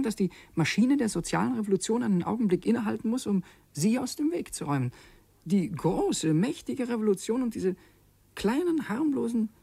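A brooding secret revolutionary in a radio drama. His voice is dark, husky and dry, and he muses haltingly, sinking toward a whisper as he weighs his thoughts. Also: sits high, no room sound, exaggerated voice. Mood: scornfully amused. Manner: halting, reflective, dropping into near-whispers. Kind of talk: German radio drama monologue and dialogue.

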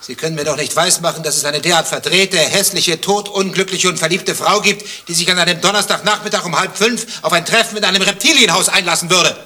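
An actor playing a nosy, suspicious stranger in a satirical radio drama, probing and insinuating with deadpan, precise speech. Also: male voice, high-pitched, quick pace, hardly any pauses, exaggerated voice. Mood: dry, mocking. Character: nosy, suspicious male stranger pestering a waiting man. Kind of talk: dialogue-driven satirical radio drama acting.

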